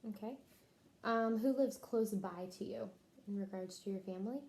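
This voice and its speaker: A woman's voice: mid-range voice, moderate pace, vocal fry, darkish tone. A woman conducting a health history interview in the manner of a clinical questionnaire, as a nurse taking down a patient's answers.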